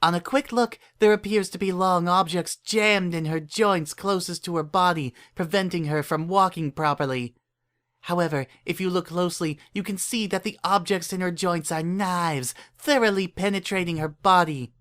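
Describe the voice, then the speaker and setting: A high, girlish cartoon filly, played by a woman spins a spooky tale with theatrical relish. She is a bossy young pony who wants to be heard out. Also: moderate pace, fairly bright tone, natural voice. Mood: spooky, insistent.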